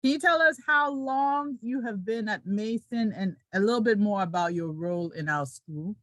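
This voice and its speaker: A woman's voice: mid-range voice, normal speed, fairly bright tone, dry recording. A woman hosting an interview, friendly and playful, laughing often and teasing her guest. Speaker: podcast host.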